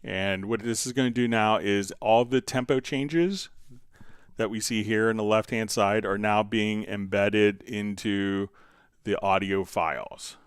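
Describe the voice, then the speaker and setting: A man hosting a tutorial stream, casually talking through each step of a software demonstration as he works. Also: fairly low pitch, measured pace, clean tone, dry recording, studio quality. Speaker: host answering viewer questions on a software tutorial stream.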